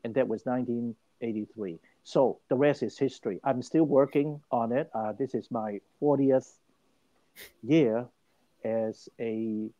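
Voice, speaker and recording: An older man from Hong Kong tells his story at length, with many restarts, and he grows more animated as he goes. His voice is mellow and dark, recorded dry with a slightly muffled sound. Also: medium pitch, unhurried, no room sound. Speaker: guest. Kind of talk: interview storytelling.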